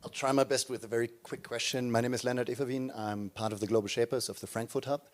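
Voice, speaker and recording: A man's voice: medium pitch, fairly even intonation, quick pace. A young man from the audience asking a structured, earnest question.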